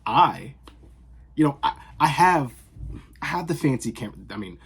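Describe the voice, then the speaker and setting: A young man from the Boston area, vlogging in casual, sarcastic rambles that swing from loud outbursts to whispers, recorded on his phone in his bedroom. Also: on the high side, big pitch swings, normal speed, vocal fry, husky. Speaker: fragrance vlogger. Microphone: phone camera.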